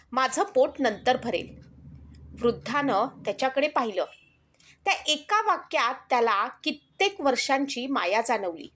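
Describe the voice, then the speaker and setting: A woman storyteller reading scripted narration of an emotional moral story in a measured, earnest manner. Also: a little high, a bit bright.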